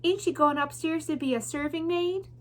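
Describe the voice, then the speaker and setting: Woman as a high, plaintive, simple servant girl. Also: normal speed, lightly acted. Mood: hopeful, hurt. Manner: uneducated rustic speech, plaintive, blunt. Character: simple, stubborn young servant girl dreaming of being princess.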